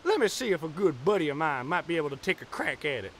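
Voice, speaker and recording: A man's voice: a little high, big pitch swings, fast, slight background noise. A man playing a rowdy, folksy with an in an audio drama, very theatrical. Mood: folksy, determined. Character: Pecos Bill, rowdy folksy cowboy.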